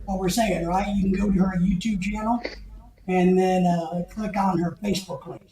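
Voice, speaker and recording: A man's voice: high voice, fairly even intonation, moderate pace, warm tone. Joking male livestream host, echoey room. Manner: joking, teasing, reads chat comments aloud. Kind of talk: casual livestream chat.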